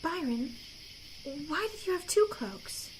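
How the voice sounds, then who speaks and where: A woman's voice: very high-pitched, very animated, takes it slowly, background noise, heavily acted. A woman playing an anxious female accomplice in a noir audio drama. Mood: worried.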